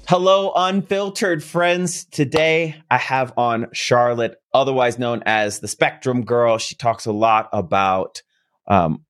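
A man's voice: mid-range voice, takes it slowly, fairly bright tone. A man hosting a podcast, asking his guest curious, friendly questions in a casual conversation. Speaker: podcast host.

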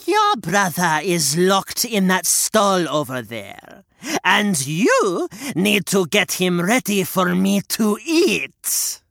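A storyteller voicing a greedy, cruel old witch in drawn-out, high, screechy tones. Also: sing-song, vocal fry, a bit bright, no echo, studio quality, heavily acted. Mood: bossy.

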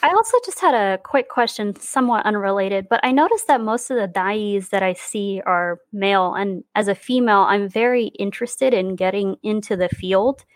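A woman's voice: mid-range voice, normal speed, hardly any pauses, no room sound. A woman from Southern California calling in to a live stream to ask a casual question. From Southern California, United States. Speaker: caller asking questions. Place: online call.

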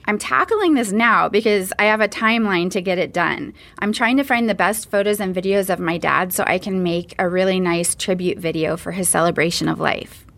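A woman's voice: lowish voice, a little fast, creaky, few pauses, fairly bright tone. A woman hosting a podcast, opening with a scripted, open and personal introduction. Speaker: podcast host.

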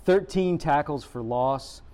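A man's voice: on the high side, slow pace, darkish tone. A man hosting a school podcast, chatting casually and calling his guest "man". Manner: friendly, says "man" often. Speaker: podcast host. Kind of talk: casual interview chat.

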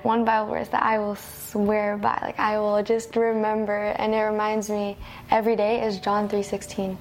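A woman's voice: mid-range voice, completely flat delivery, normal speed. A woman from Cuba sharing her faith in a video testimony. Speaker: church member giving testimony.

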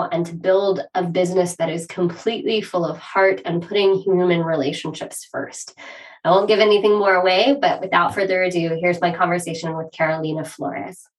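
A woman's voice: fairly low pitch, far from the mic, rough recording. A woman hosting a podcast, introducing her guest in an upbeat, effusive way. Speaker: podcast host.

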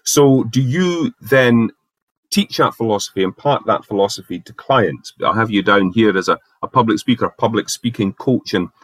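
A man hosting a podcast interview, asking questions in a brisk, affirming way. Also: fairly low pitch, lively intonation, no echo. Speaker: podcast host.

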